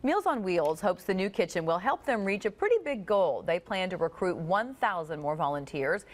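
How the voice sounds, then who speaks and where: A woman anchoring a local evening newscast, delivering updates and breaking news in a steady, measured way. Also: on the low side, a little hiss or hum. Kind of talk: live local newscast reading.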